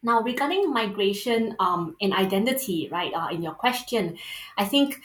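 A woman with a Singaporean accent, a sociologist and guest on a podcast interview, answering thoughtfully with many fillers and pauses. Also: mid-range voice, animated, fairly bright tone. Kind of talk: podcast interview over remote call. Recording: roomy echo on the voice.

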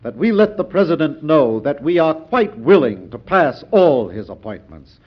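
An actor playing a calm, scheming American senator in an old-time radio drama, speaking in a measured, oratorical way. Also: male voice, fairly high pitch, slightly rough. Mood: smooth scheming. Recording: dull, lo-fi old radio recording.

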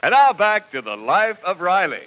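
A man's voice: sits high, sing-song, moderate pace. A man announcing in 1940s radio style. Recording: dull vintage radio recording with music.

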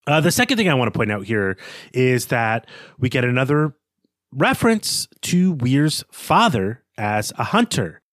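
Animated male podcast co-host, dry close sound. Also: mid-range voice, no room sound. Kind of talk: literary discussion, conversational analysis.

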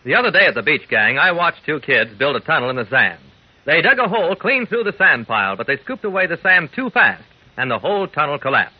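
A man's voice: a little high, big pitch swings, a little fast, slight background noise. A man giving an earnest, folksy, emphatic public-service talk to young listeners in 1940s radio style. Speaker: radio announcer. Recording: muffled old radio recording.

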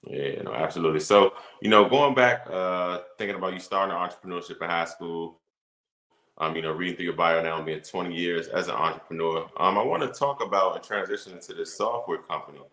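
A man's voice: low voice, brisk, pushed and bright. A man hosting a podcast, asking long, rambling questions full of fillers. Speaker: podcast host. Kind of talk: interview questions. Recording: echoey room.

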